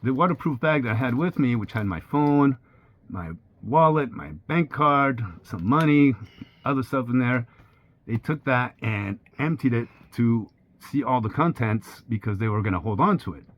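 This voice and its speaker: A man's voice: medium pitch, moderate pace. Sixties man, French native, telling a story. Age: 60s. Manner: plain, candid retelling with steady pacing. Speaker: sailor vlogger. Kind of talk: personal storytelling narration. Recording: slightly dull with faint hiss.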